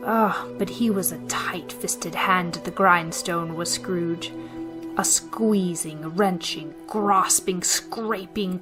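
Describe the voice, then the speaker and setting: A woman's voice: medium pitch, low-quality recording. A woman giving scripted narration as host and storyteller of a radio theater show. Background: music.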